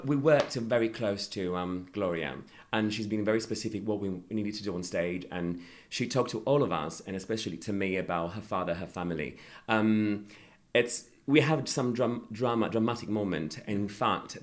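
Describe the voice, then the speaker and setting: A man from Sicily, an actor being interviewed, talking casually with many pauses and restarts. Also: fairly low pitch. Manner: hesitant, many fillers and restarts. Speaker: actor guest.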